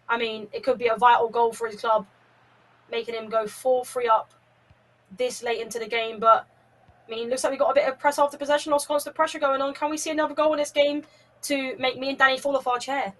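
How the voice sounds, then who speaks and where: A woman co-commentates in a chatty, upbeat way, adding analysis between plays. Her voice sits fairly high, and she sounds a little distant from the microphone. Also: steady tone, a little fast. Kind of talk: color commentary.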